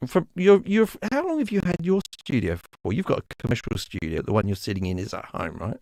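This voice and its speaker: Casting: male stream host, lively pitch, slightly gravelly, dry close mic. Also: a little fast, no echo. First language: English. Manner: chatty, laughing, restarts, lively. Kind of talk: casual live-stream chat.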